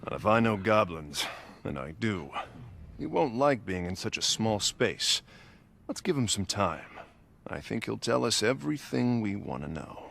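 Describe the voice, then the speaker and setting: An actor playing a seasoned, confident middle-aged adventurer in a video game, measured and knowing with a gravelly voice. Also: male voice, on the low side, sing-song, slight background noise, heavily acted. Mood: calm, knowing. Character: seasoned, confident male adventurer, a caring uncle. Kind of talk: video game cutscene acting. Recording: loud music behind.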